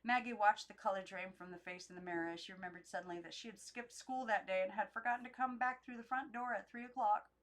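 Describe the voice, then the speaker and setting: A young woman reads a storybook aloud in an unedited, expressive narration with occasional stumbles. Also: mid-range voice, fast, hardly any pauses, poor audio. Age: young adult. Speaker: amateur book reader.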